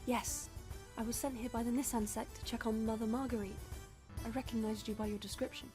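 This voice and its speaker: A woman's voice: a little high, steady tone, brisk, over music, natural voice. A woman playing an earnest, discreet young nun working as an informant in a radio play. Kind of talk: radio play acting, fan production.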